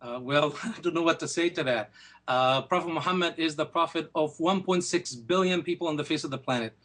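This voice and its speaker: A man arguing his side in a televised debate, speaking in rapid, insistent bursts. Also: fairly high pitch, a bit bright. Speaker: debate guest. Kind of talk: heated debate.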